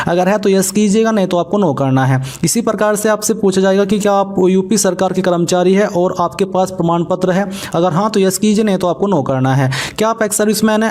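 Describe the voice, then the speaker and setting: A man's voice: sits high, a little mellow. A man presents an online tutorial. He explains each step in an instructional manner, dropping English terms into his narration.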